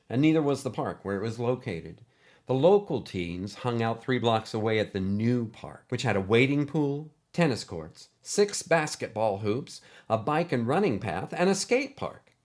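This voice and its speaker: A man narrating a scripted short story as a host, with expressive, animated delivery. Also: mid-range voice, a little slow.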